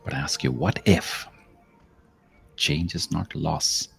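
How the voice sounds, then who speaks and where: Very deep, slow-paced man guiding meditation. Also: gravelly, soft background music. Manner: measured, contemplative, with long pauses and softly trailing phrases. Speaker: guided meditation host. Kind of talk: slow guided meditation, spoken-word reflection with verse.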